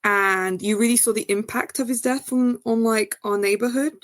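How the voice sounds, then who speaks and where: A woman's voice: normal speed, no echo, clean studio sound. A woman from Portugal talking as a guest novelist in a casual interview, chatty and hesitant with many fillers and restarts. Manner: rises high and animated when answering.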